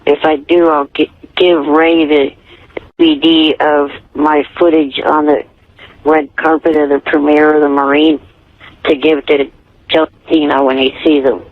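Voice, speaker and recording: A man calling in to a radio show, speaking in an earnest, literal and halting way. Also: on the high side, flat delivery, dry recording. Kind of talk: phone-in conversation. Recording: dull telephone call on radio.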